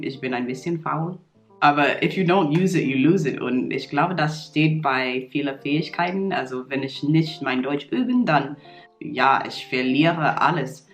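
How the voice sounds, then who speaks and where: A woman in her twenties from New York with an American English accent, talking casually to the camera in learner's German with small slips and fillers. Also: rumbling low. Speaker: vlogger. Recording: roomy echo, soft guitar music.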